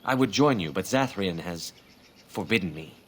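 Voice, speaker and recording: An actor playing a grieving elven hunter in a fantasy game, speaking in a formal, measured, earnest way. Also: male voice, low-pitched, animated, slight background noise. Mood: guarded.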